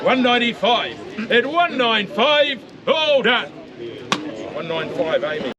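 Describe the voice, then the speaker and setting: A man with a high, ringing voice and a New Zealand accent calls a livestock auction, chanting bid figures over and over at speed. He coaxes the bidders along in a practised rhythm. A loud crowd and bleating sheep fill the outdoor saleyard around him. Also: sing-song, muffled recording. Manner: rapid repetitive chanting of bids, coaxing the buyers.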